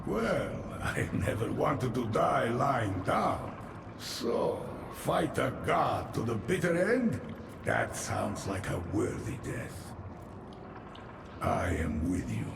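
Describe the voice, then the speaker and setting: Heavily gravelled, fatalistic soldier. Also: male voice, mid-range voice, wildly swooping pitch, heavily acted. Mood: wry resolve. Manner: slow, wry, deliberate. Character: world-weary, fatalistic, loyal soldier. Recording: echoey, noisy game ambience.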